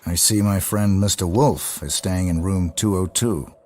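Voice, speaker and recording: A man playing a cold, terse professional assassin in a video game, speaking in a deadpan way. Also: sits low, measured pace. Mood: cool, controlled.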